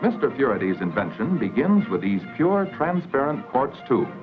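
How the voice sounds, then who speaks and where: American male narrator, 1940s educational film style, dull vintage soundtrack, formal scripted delivery, animated intonation, rough-edged voice. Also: mid-range voice. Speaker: documentary narrator. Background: old film soundtrack.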